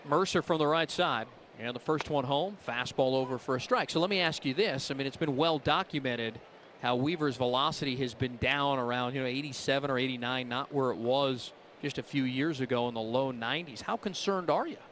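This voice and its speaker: A man's voice: medium pitch, brisk, fairly bright tone, a little hiss or hum. A man calling a baseball game live as the play-by-play announcer.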